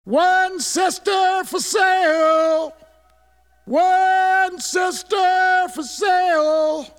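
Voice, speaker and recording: A performer voicing a kid who hollers like an auctioneer selling off a pesky sister, in a drawn-out barking pitch. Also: a bit bright, long reverb tail, exaggerated voice.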